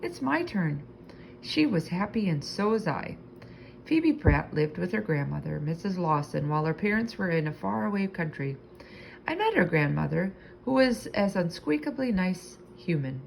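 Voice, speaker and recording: A woman narrates a children's storybook aloud. Her voice sits a little low and has noticeable fry, and she reads expressively, drawing out key words. There is faint noise in the recording. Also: very animated, normal speed, low-quality recording. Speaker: storybook reader.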